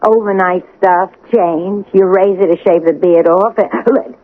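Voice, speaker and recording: A woman's voice: mid-range voice, steady tone, measured pace, close to an everyday voice. An actress playing a tough, impatient, streetwise woman bossing her man around in a radio crime drama. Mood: impatient, bossy. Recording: tinny, hissy old radio broadcast.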